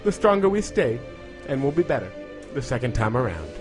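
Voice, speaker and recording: A man playing a grave, overwrought reciter of sitcom theme lyrics, slow and emotive, in a mock-dramatic reading. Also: medium pitch, big pitch swings, darkish tone, faint music behind, voice pushed down, natural voice. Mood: soaring, emotional. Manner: slow, grandiose, emotive delivery with drawn-out phrases.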